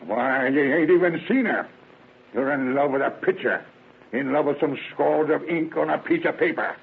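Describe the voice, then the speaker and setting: A man's voice: on the high side, normal speed, a little hiss or hum. An actor playing a stern, pious, stubborn old rural father with folksy rustic speech in a radio drama. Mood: stubborn, angry. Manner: gruff, folksy rustic talk. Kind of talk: 1930s radio acting. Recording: muffled phone-like vintage radio recording.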